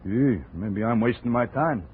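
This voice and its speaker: An actor playing a folksy, eager informant in a 1950 radio drama. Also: male voice, medium pitch, a little slow, breathy edge. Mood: curious. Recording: tinny old radio broadcast.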